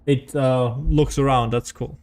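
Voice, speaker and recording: A man's voice: mid-range voice, steady tone, a little slow, darkish tone. A man who is a native German speaker, streaming a video game with casual, rambling, self-deprecating commentary.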